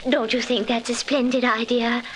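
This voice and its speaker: A woman acting a gracious Victorian lady who lives happily in the past. Her voice is high, refined and gushing, in 1940s radio drama style with faint noise on the recording. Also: little pitch movement, normal speed, lightly acted. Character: genteel, deluded Victorian lady hostess, cheerfully living in past.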